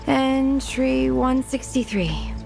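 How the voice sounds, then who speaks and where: A machine-mad young engineer. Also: female voice, sits high, slow, vocal fry, exaggerated voice. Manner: excited, dreamy and breathless about machines. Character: eccentric young woman engineer obsessed with machines. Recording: game audio under loud music.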